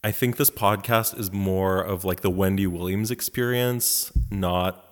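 A man's voice: low voice, fairly even intonation, measured pace, long reverb tail. A man from Poland, with Polish as first language, co-hosting a podcast in campy, joking casual chat from his home. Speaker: podcast co-host. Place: house in Poland.

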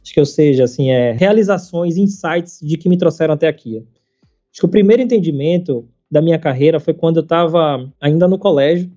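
A man, chatting casually as a podcast guest, halting and full of fillers and casual slang. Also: a little high, mellow. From Salvador, Brazil. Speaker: startup founder, podcast guest. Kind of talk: casual conversational interview. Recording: slightly muffled, faint background music.

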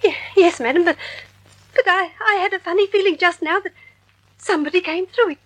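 An actress playing a timid, superstitious, deferential maid with a stammering, fluttery manner in a vintage radio drama. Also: female voice, very high-pitched, a little fast, fairly bright tone, no echo, rough recording. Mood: alarmed, nervous. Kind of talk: 1940s radio drama acting.

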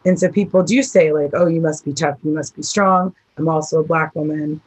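A woman from the Midwest with a rough voice, chatting casually as a guest about teaching horse riding, rambling and laughing often. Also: sits low, steady tone, fairly quick, rough recording. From American Midwest. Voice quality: rough, husky voice. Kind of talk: casual interview talk.

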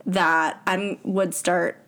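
A woman's voice: fairly low pitch, level tone, very slow, fairly bright tone. A young American woman chatting casually and playfully as a podcast co-host. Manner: giggly, playful, informal. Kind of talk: casual chat. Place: home studio in a bedroom.

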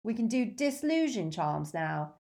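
A woman's voice: mid-range voice, big pitch swings, moderate pace, vocal fry, darkish tone, voice pushed up. A woman voicing a gormless teenage schoolboy henchman in an audiobook, grunting and ungrammatical. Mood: gormless, boastful. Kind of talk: audiobook character voice.

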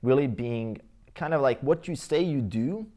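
A man's voice: medium pitch, normal speed, darkish tone. Croatian-accented man telling his life story. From Croatia/Bosnia region. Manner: rambling, many fillers and restarts, reflective. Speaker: interview guest. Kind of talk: casual life-story interview.

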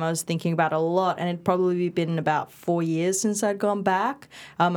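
A young woman from Bali talks quickly and casually as a podcast guest, her speech full of fillers and self-corrections. Her voice sits a bit low for a woman. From Bali, Indonesia. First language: Indonesian and Balinese. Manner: fast, chatty, frequent fillers and restarts. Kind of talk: casual interview conversation.

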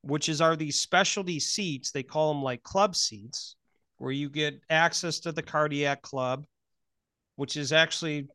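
A man with a fairly high voice hosts a podcast in a casual, chatty way. He moves between interviewing and personal storytelling. The recording is close and very dry, with no room sound. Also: measured pace, pushed and bright, no room sound. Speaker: podcast host.

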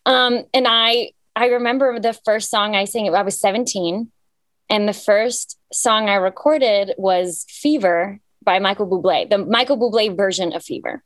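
A woman's voice: mid-range voice, normal speed, no echo. A young woman singer from Cyprus, talking casually and upbeat about her music in an interview, with lots of fillers. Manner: chatty, filler-heavy, upbeat storytelling. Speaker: singer guest.

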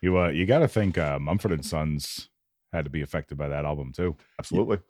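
A man's voice: extremely low pitch, fast talker, a little mellow. A man co-hosting a casual music podcast, enthusiastic with hesitant starts. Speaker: podcast co-host. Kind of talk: casual chat.

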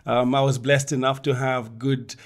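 A man's voice: mid-range voice, little pitch movement, moderate pace. A middle-aged man from Zambia, an audiologist and guest, telling his story in an interview; he laughs often and repeats words when he starts a thought.

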